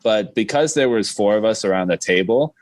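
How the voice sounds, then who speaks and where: A man's voice: fairly low pitch, expressive, a little fast, darkish tone, dry recording. A man chatting casually as a podcast guest, talking in a rambling, self-deprecating way.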